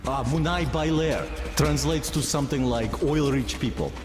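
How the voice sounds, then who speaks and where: An actor playing an easygoing, pragmatic soldier in a video game, talking in a wry, conversational way. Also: male voice, medium pitch, moderate pace, noisy background, close to an everyday voice. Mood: casual. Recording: echoey hall, loud music behind. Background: game music.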